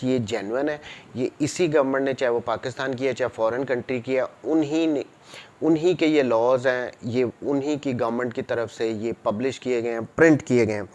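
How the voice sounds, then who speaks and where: A man's voice: medium pitch, darkish tone. Pakistani man giving an explanatory lecture. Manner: didactic, code-switches between Urdu and English. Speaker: law lecturer. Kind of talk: explanatory lecture, reading statutes aloud and explaining them.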